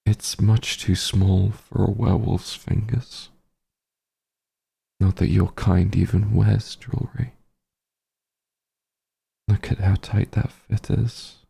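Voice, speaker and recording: A low-voiced, embittered fantasy hunter, acted by a man in an audio roleplay. He speaks in slow, halting phrases with intense menace. His husky voice carries cruelty with grief underneath it. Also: flat delivery, warm tone, heavily acted. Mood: stunned. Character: bitter male werewolf hunter, cruel, grieving, shaken. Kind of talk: dramatic audio roleplay monologue.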